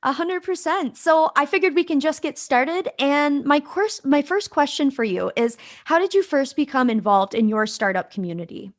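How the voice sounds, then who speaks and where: A woman's voice: high-pitched, expressive, moderate pace, few pauses. A woman co-hosting a podcast, asking a guest questions in a friendly, upbeat way. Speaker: podcast co-host. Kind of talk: podcast intro and interview questions.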